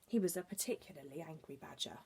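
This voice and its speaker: A woman's voice: sits low, fast talker, gravelly, darkish tone, breathy edge. A woman teacher reading a children's storybook expressively, adding explanatory asides for young listeners. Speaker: early years teacher reading aloud.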